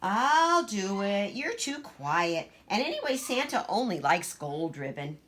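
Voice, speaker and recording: A woman's voice: mid-range voice, big pitch swings, measured pace, fairly bright tone. A woman voicing a bossy, boastful, chatty little girl in a children's storybook, with an emphatic, gushing know-it-all manner. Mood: dismissive.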